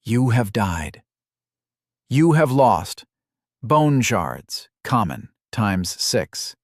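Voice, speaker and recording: A man voicing the flat game system that announces gains and losses in a measured way. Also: fairly low pitch, no room sound, studio quality, full character voice. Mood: announcing.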